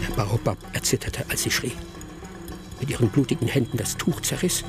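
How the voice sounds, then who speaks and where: A gravelly male storyteller, an actor as a village hunter in a radio play. He recounts events in grave, measured phrases at a middle pitch, with music playing clearly underneath. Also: natural voice. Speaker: narrator. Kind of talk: dramatic first-person radio play narration.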